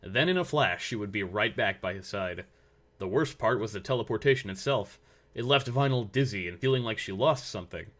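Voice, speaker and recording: A man serves as narrator for a piece of fiction. His voice sits fairly low as he reads the scripted prose. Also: lively intonation, brisk, fairly bright tone.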